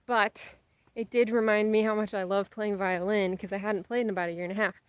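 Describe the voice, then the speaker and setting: A young adult woman with an American accent talks in a casual, giggly vlog style as she walks outside. Her voice sits at an ordinary mid pitch and wanders easily between asides. The sound is close and dry, slightly dulled. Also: fairly even intonation, a little fast, clean tone, dry recording, lo-fi sound. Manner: giggly, easily sidetracked, playfully exaggerating. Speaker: vlogger. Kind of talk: casual rambling chat to camera while walking outdoors. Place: outdoors on a sidewalk, walking.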